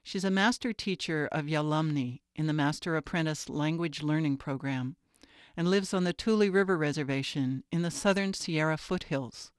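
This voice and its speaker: Woman radio host reading a script, dry close mic, steady. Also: low-pitched, normal speed, no room sound.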